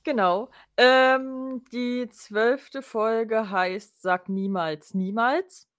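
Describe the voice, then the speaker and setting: A young woman, a guest on a casual fan podcast, talking in a calm, measured way. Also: fairly high pitch.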